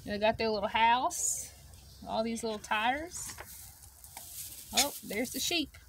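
A middle-aged woman reading a picture book aloud to children, expressively, pausing to ask her young listeners simple questions. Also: on the high side, animated. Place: outdoors beside a wire poultry pen. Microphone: camera a step or two away. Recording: outdoor noise with clucking poultry. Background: chickens and outdoor ambience.

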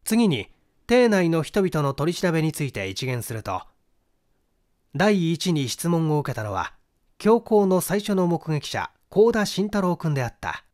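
A man reading a scripted mystery novel as narrator, in a measured, formal and precise manner. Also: fairly high pitch, big pitch swings, darkish tone. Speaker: audiobook reader, stage actor.

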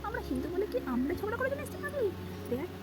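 Woman as a feisty, shrill-high young friend. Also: sing-song, rapid-fire. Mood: protective. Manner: scolding, emphatic. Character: feisty, protective young female friend, outspoken. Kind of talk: amateur puppet-show dialogue. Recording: noisy amateur recording.

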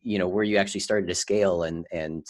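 A man hosting a casual podcast interview, feeling his way haltingly into his questions. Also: low voice, steady tone. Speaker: podcast host.

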